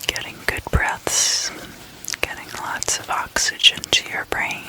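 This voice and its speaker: A person whispering a guided meditation in a breathy, unvoiced voice, giving instructions in halting, spaced-out phrases. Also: sharp tone, poor audio.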